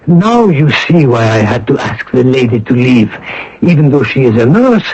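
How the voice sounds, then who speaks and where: An actor playing a kindly, grave wartime priest in a radio drama, speaking in a measured, gentle, formal way on an old broadcast recording. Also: male voice, medium pitch, big pitch swings, breathy edge, muffled recording. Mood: dignified, resolute.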